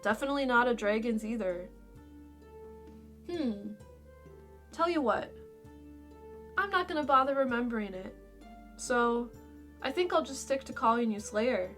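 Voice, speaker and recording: A woman's voice: on the high side, lively intonation, unhurried, pauses often, fairly bright tone, soft background music. A woman playing a blunt, sardonic young female dragon in a fan fiction audio drama, brusque and casual in manner. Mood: wry, blunt.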